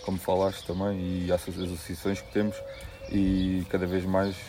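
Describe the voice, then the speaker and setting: A man with a European Portuguese accent co-hosting an interview, asking halting questions and agreeing with frequent tag questions. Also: low-pitched, monotone, background noise. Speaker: co-host interviewer.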